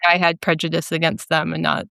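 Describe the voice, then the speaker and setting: A young woman from central Minnesota with a Minnesota accent, a painter talking thoughtfully in an interview with many pauses and ums. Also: sits low, level tone, gravelly, bright, forceful tone, clean tone, no room sound. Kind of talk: casual interview conversation.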